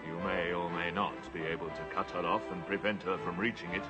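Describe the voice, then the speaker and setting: Noble lion king played by a man: low-pitched, stately and solemn, gentle but sad, fantasy drama with clear music underneath. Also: fairly even intonation, natural voice. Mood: grave, commanding. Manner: grave, measured, stately. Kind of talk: dramatic fantasy film acting.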